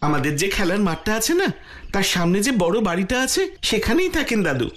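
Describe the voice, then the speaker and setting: Clever, humble cartoon boy, woman voicing, animated pitch. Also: quick pace, close to an everyday voice. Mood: explaining. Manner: friendly, modest explaining. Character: modest clever young schoolboy. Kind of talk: Bengali cartoon dubbing.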